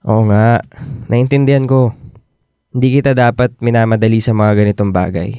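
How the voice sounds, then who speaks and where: A man's voice: fairly low pitch, steady tone, normal speed, mellow, smooth, no room sound, lightly acted. A man acting an earnest, insecure young boyfriend in a Filipino radio drama, pressing for commitment. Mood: subdued, pleading.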